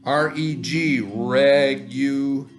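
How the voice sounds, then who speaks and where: Man, elementary teacher, medium-pitched voice, instructive read-aloud lesson for children, faint guitar music in background. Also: fairly even intonation, extremely slow. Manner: instructive, spells and sounds out words, checks with "right?".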